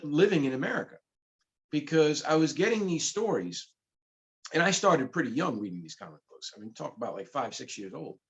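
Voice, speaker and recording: A man's voice: on the high side, highs cut off. A middle-aged man from the Bronx whose first language is Spanish, telling stories in a casual interview with laughs as he goes. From the Bronx, New York. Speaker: comic book publisher, guest.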